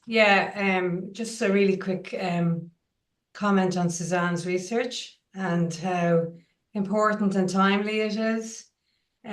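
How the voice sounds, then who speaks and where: A woman who supervises research, making an earnest comment in a webinar over a video call, with halting restarts. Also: medium pitch, roomy sound, distant mic. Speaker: academic supervisor.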